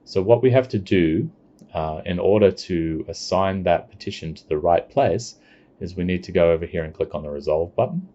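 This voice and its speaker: Brief: man, low mellow voice, explains a software demo step by step, dull lo-fi mic. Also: animated. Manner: plain step-by-step explaining, unhurried. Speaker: software demonstrator. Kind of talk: screencast product walkthrough. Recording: dull lo-fi recording.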